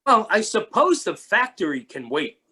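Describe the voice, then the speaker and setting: A performer playing a kindly, lonely, mild-mannered man in a readers theatre children's story, with a husky, hesitant delivery. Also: medium pitch, very animated, normal speed, pushed and bright, heavily acted. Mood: friendly, helpful.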